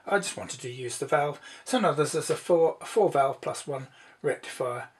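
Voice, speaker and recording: Higher-pitched man explaining his hobby project. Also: lively intonation, normal speed. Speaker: presenter, hobbyist radio builder. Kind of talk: informal demonstration narration.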